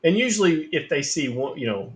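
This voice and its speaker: A man's voice: a little high, sing-song, brisk. Man hosting a gaming chat. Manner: affirming, lots of "yeah" and "no doubt". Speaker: podcast host. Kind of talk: casual interview.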